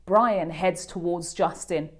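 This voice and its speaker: A woman reading a children's picture book aloud as a host, with expressive, deliberate storytelling. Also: lowish voice. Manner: animated, deliberate delivery for children.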